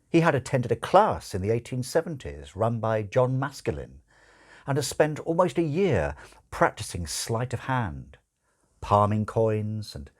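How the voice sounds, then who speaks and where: A man reading a literary audiobook as narrator, expressive, with wry, deliberate phrasing. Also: lowish voice, husky.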